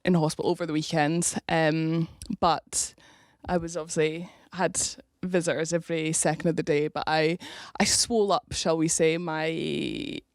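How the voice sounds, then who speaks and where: A woman's voice: deep voice, little pitch movement, normal speed, few pauses, no room sound, studio quality. A young woman from Scotland, a rugby player, chatting casually as a podcast guest, laughing often and restarting her sentences.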